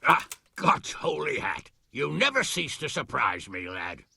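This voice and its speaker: An actor playing a blunt, foul-mouthed middle-aged knight captain in a video game. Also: male voice, medium pitch, big pitch swings, unhurried, pushed and bright, heavily acted. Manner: gruff, profane.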